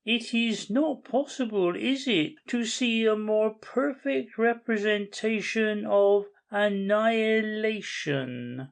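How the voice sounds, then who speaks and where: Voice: mid-range voice, gravelly. An audiobook reader playing a pedantic, tireless male cathedral guide, declaiming facts in a dramatic reading. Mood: solemn, showy. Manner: slow, declamatory recital of dates and facts.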